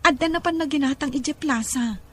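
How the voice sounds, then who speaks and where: A woman's voice: high voice, moderate pace, close to an everyday voice. A woman voicing a shy teenage girl. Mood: tender. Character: teenage girl Donna, sixteen, in love. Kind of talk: amateur audio drama acting.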